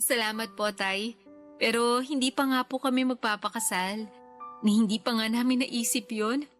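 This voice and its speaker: An actress as a young adult daughter in a radio drama speaks in a fairly high voice. She is respectful and eager, a woman with ambitions. Soft music sits behind her. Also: steady tone, normal speed, lightly acted. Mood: eager, determined. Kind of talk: Tagalog radio drama acting.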